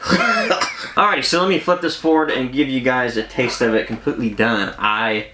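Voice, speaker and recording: A man's voice: mid-range voice, moderate pace, pushed and bright, slight background noise. A man hosting a casual unboxing, talking to viewers in an enthusiastic, conversational way. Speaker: collectibles unboxing host. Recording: echoey room.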